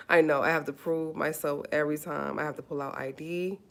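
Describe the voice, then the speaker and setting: A forty-year-old woman talks straight to her livestream viewers. Her voice sits very low, and she hammers her points home by repeating them. She calls listeners honey as she goes. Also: expressive, normal speed, gravelly, darkish tone. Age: 40s. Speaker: livestream host. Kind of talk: casual livestream talk.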